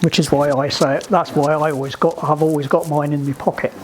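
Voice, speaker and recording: A man giving informal, practical instruction to a group, halting and often restarting his phrases. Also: on the high side, level tone, a little hiss or hum. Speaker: instructor at a sailing club radio session. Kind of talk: informal instructional talk with questions.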